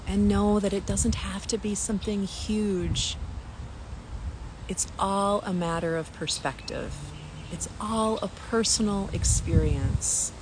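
A woman leading a spiritual guided meditation in a gentle, soothing manner, with long pauses between phrases. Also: medium pitch. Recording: clear environmental noise, birdsong.

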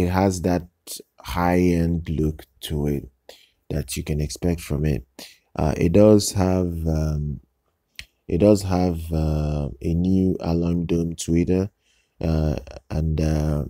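Guy hosting a product review channel, really slow n hesitant, mellow relaxed voice, repeats himself. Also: low voice, no echo, studio quality. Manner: halting, hesitant delivery with repeated words. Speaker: gadget review channel host. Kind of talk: unscripted product news commentary.

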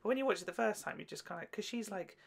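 A man's voice: high voice, lively intonation, racing, vocal fry, a loud crowd. A young British man chatting casually and enthusiastically as co-host of a reaction video. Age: young adult. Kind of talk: casual chat. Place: small indoor room at home. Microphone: microphone on the desk.

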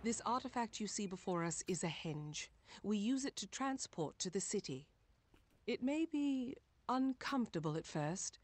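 An actress playing a solemn armored female guide in a fantasy video game, speaking formally and gravely. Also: lowish voice, no room sound, lightly acted. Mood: solemn, instructive.

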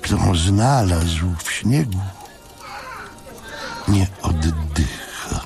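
Low, gravelly man narrating a dark story. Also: animated, extremely slow, over music. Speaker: audiobook narrator. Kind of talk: dramatic literary scripted narration.

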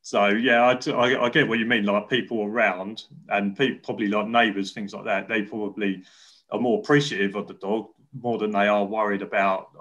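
A man's voice: on the low side, little pitch movement, a little fast, fairly bright tone, highs cut off. A young man hosting an interview over a video call, talking in a chatty, rambling way with frequent restarts. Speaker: interview host. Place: living room indoors. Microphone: webcam on video call.

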